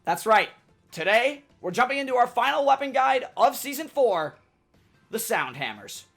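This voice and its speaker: A young man with a loud, energetic delivery, reading a scripted tutorial as a gaming YouTuber. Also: very high-pitched, normal speed, creaky, faint music behind. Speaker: gaming YouTuber giving a weapon guide. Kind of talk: scripted game tutorial narration.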